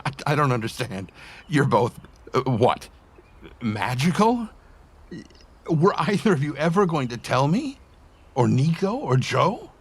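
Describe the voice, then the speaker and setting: A bewildered man voiced by a male actor in a motion comic. His voice is husky and mid-pitched, swinging widely as he questions things in a halting, incredulous way. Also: big pitch swings. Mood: confused, hurt. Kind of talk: scripted cartoon dialogue.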